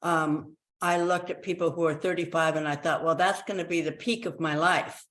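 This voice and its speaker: A woman's voice: low voice, fairly even intonation, moderate pace, a bit bright. A woman in her eighties speaking as an interview guest, telling her own story in a reflective, conversational way. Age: 80s.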